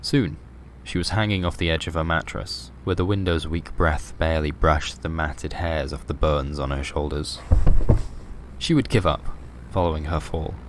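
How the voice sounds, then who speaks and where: Male storyteller for surreal horror fiction, low voice, scripted narration over clearly audible background noise. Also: fairly even intonation, normal speed.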